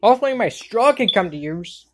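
A woman voicing an excitable, wisecracking girl in an amateur anime comedy fandub, full of exclamations. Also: mid-range voice, brisk, background noise, natural voice. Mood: excited. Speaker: fan dub voice actor. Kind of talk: amateur cartoon fandub line readings.

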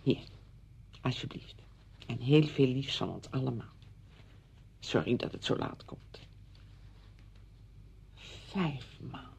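A woman's voice: rumbling low, expressive, takes it slowly, creaky, pauses often, a little mellow, slightly rough, full character voice. An actress playing a chatty, apologetic married woman visiting a friend in a radio drama, effusive, rambling and flustered. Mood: apologetic, gushing.

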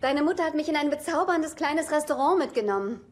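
Sitcom fiancée, sincere, earnest, firm, slightly high pitch, clear background noise. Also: female voice, expressive, quick pace. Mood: admiring. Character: sincere, exasperated fiancée defending her future mother-in-law. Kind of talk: German-dubbed sitcom dialogue. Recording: noisy TV soundtrack.

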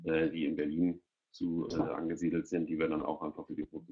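Middle-aged man, very low voice, informal webinar explainer, searching for words, muffled headset recording. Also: completely flat delivery, brisk. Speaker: panel guest presenting a children's audio portal. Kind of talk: informal presentation in an online webinar. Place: indoor room, video call. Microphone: headset on a video call. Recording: dull lo-fi video-call audio.

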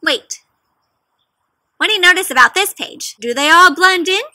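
A young woman reads a picture book aloud for small children in a high, strikingly bright voice. She is animated and teacherly, pausing to put questions straight to her young listeners. The sound is dry and close, with very little echo. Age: young adult. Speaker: children's read-aloud host. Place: outdoors in a leafy garden.

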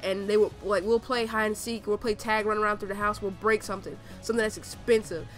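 A woman's voice: medium pitch, steady tone, quick pace, faint music behind. A young adult woman with a nasal, congested voice from a cold, rambling casually through gameplay commentary with slang and swearing. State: sick with a cold. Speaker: gaming video creator.

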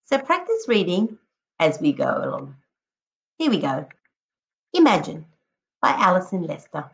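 A woman teacher reading a picture book aloud to children, expressively, addressing them directly and encouragingly with drawn-out emphatic words. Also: on the low side, big pitch swings, vocal fry. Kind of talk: expressive children's read-aloud with prompting questions.

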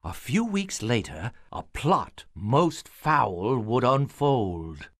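A grave medieval storyteller narrating a video game. His delivery is slow and theatrical, with big sweeps of pitch and a dry, close sound. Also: big pitch swings, a bit bright, no echo, exaggerated voice. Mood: portentous. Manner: portentous, theatrical. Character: grave, dramatic storyteller of a medieval war tale. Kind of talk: scripted dramatic game narration.

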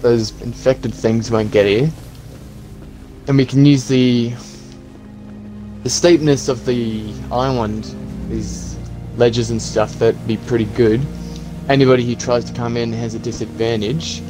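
A practical, hopeful survivor in a zombie game roleplay, played by a man. He thinks aloud in a halting, conversational way at a middling pitch. Music plays behind the voice. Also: fairly even intonation, natural voice, rough recording. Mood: practical, planning. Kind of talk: improvised game roleplay skit.

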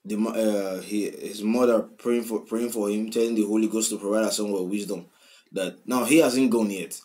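Young Nigerian guy reacting on webcam, lowish voice, gets loud then mumbles. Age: 20s. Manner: emotional, animated, swings from loud outbursts to quiet mumbling. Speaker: music reaction host. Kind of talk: casual reaction commentary. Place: small room indoors. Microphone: webcam at desk, wearing headphones.

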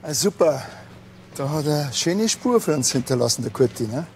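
Gravelly, deadpan, sarcastic policeman. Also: on the high side, normal speed, a little hiss or hum. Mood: dry, unbothered. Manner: dry, deadpan, teasing. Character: dry, unbothered, sarcastic male police officer. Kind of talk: comedy crime drama acting.

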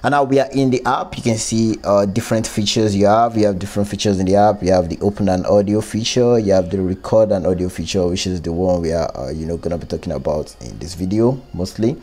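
A man with a low, mellow voice hosts a phone app tutorial. He talks informally and explains each step as he goes. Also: fast, hardly any pauses. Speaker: tech tutorial host. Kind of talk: casual screen-recorded app walkthrough. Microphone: close one-way microphone, voice-over.

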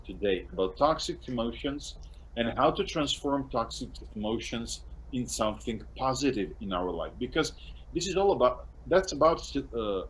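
A middle-aged man with a Serbian accent, hosting a casual video-call interview in English as a second language, speaking haltingly with frequent restarts and small laughs. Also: medium pitch, sing-song, slight background noise, rough recording. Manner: halting, restarts often, laughs between phrases. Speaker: interview host and course translator. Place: home room indoors. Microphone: webcam on a video call.

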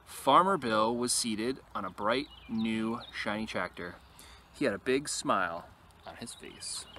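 Bright-voiced man reading a children's story outdoors. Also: fairly low pitch, a little slow. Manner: animated when reading, quieter and casual in asides. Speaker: storyteller reading a picture book. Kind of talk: expressive children's read-aloud with casual asides to an animal. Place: outdoors in an open field. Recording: noisy outdoor recording with ambient sound.

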